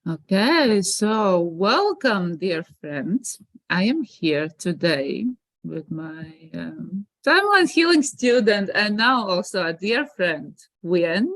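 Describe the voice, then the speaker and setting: A woman hosting an interview, welcoming her guest in a gentle, unhurried way. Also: mid-range voice, big pitch swings, dry recording. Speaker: host, teacher. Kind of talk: interview introduction.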